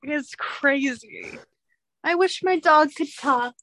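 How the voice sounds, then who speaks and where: A woman's voice: high-pitched, slow, low-quality recording. A young woman in casual chat, telling rambling stories with lots of fillers and a slightly nasal sound. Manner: rambling, animated storytelling with many fillers.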